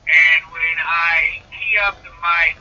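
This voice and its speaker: A man's voice: a little high, steady tone, takes it slowly, recorded from a distance. A man calling in on the phone, talking casually. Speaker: caller. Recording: dull, sharp phone line.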